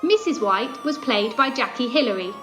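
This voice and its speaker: Music plays clearly behind a woman narrator. Her voice is somewhat high, and she delivers scripted announcements for a radio play. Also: expressive, moderate pace, a bit bright.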